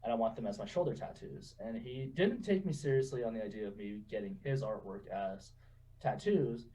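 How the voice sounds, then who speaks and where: A man in his twenties telling stories in a relaxed, chatty way, laughing often and saying "you know" a lot. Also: lowish voice, fairly quick, far from the mic, low-quality recording. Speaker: guest. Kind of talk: casual interview storytelling.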